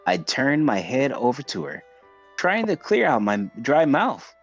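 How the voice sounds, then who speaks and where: A man's voice: mid-range voice, very animated, a little slow, lo-fi sound, soft background music. A man reading visual novel narration aloud as a streamer, occasionally stumbling and rereading words.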